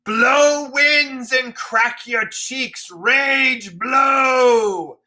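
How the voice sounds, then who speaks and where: A man voicing a raging old king who shouts defiance at a storm in slow, drawn-out declamation. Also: very high-pitched, pitched above the performer's own voice, exaggerated voice.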